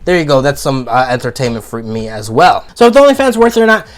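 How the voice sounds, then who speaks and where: Man, froggy sick voice, crude, blunt, casual comedic review, mid pitch. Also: sing-song, fast talker. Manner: blunt and profane. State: sick with a sore throat, froggy. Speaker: video reviewer.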